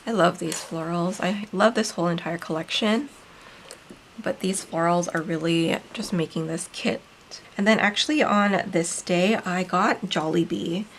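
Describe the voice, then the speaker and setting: A woman from the Philippines casually narrating as she decorates a planner, chatty and relaxed, with frequent ums. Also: lowish voice, unhurried, a little hiss or hum, poor audio. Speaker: planner vlogger and shop owner.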